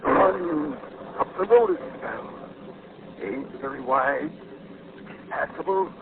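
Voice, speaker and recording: A man's voice: medium pitch, lively intonation, takes it slowly, vocal fry. An actor playing a grim, laconic old country man in a 1940s radio drama, speaking in a terse, ominous rustic drawl. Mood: ominous warning. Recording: scratchy, noisy, tinny 1940s radio recording.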